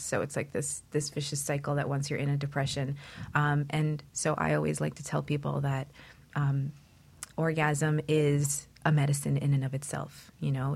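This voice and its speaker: A woman with a low voice and a gravelly fry hosts a talk show. She explains her points in an easy conversational style.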